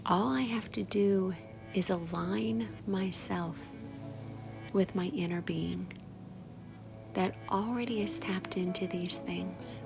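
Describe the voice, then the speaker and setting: Woman doing guided meditation, soft breathy voice w lots of fry, music behind, long pauses. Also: mid-range voice. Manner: soft, soothing, with long pauses between short phrases. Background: background music.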